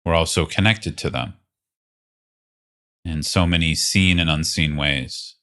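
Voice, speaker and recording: A man leading a guided meditation as a teacher, speaking gently and unhurriedly with long pauses. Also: very deep voice.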